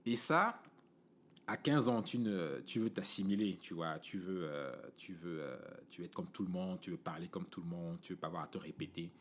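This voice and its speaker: A man's voice: deep voice, moderate pace, a little hiss or hum. A man in his forties from Cameroon with a Cameroonian French accent, hosting a podcast in a casual, rambling monologue full of fillers and "tu vois" asides. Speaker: podcast host.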